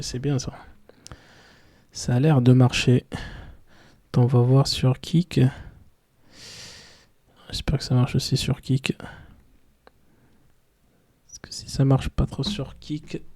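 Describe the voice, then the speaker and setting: A man streaming live in French, which is not his first language, chatting loosely and haltingly while he sets up. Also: medium pitch, mellow. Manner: halting, loose, talks to himself while setting up. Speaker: streamer reacting to videos. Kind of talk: casual live-stream chat.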